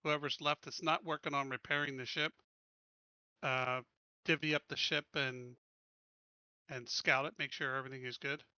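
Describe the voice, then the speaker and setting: Man, hesitant tabletop captain, very bright voice, dry dull mic. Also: medium pitch, fairly even intonation, moderate pace, pauses often, smooth, no room sound. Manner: hesitant, lots of ums, laughs when confused. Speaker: player and ship captain. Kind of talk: casual tabletop chat.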